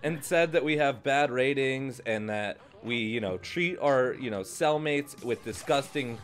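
A man hosting a group gaming session with excited, playful, joking casual chat. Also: mid-range voice, measured pace. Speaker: gaming video host.

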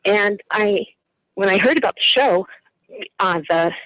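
An excited woman calling in to a radio show and gushing with enthusiasm. Her voice comes through close and dry. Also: medium pitch, unhurried, no room sound, low-quality recording. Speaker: caller. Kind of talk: phone-in chat.

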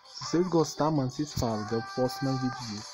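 A man's voice: medium pitch, fast, poor audio. Brazilian man rambling over game music. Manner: rambling, slangy, unsure. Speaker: gaming channel host. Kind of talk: casual gameplay commentary.

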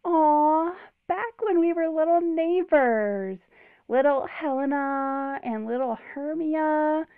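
An actress plays an affectionate young woman in an audio drama, heard through a thin phone-like band. She is high-voiced, gushing and cutesy, and takes her time. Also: slow pace, dry recording. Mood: fond, excited. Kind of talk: modern audio drama acting. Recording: thin phone-like broadcast sound.

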